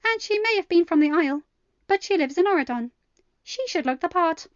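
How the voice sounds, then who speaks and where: A woman's voice: very high-pitched, lively intonation, brisk, dry recording, lo-fi sound, pitched above the performer's own voice. A woman voicing a prim, condescending royal handler who scolds and huffs, in an audio story. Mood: huffy, defensive. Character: snooty, scolding young female royal handler.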